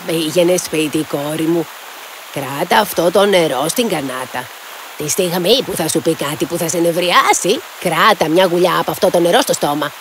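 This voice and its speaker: A woman voicing a kindly, wise old peasant woman in a fairy tale, speaking slowly, gently and with measured care. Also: lowish voice, background noise, voice pushed down, close to an everyday voice. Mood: wise, reassuring. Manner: slow, gentle, measured delivery.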